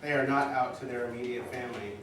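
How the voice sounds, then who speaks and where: An actor as a male narrator giving a formal factual report. His medium-pitched voice is far from the mic, with heavy room echo around it. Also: steady tone, quick pace, close to an everyday voice. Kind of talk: formal reading of statistics. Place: stage in a reverberant room, recorded from afar. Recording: echoey hall, far mic, faint noise.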